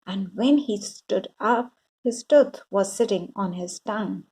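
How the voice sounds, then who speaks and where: A woman reading a children's bedtime story aloud in a deliberate, expressive way for young listeners. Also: medium pitch, breathy edge.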